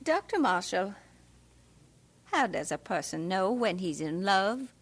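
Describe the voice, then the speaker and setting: An actress playing a brisk, motherly church secretary who is bossy and teasing, in a radio drama. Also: medium pitch, very animated, full character voice.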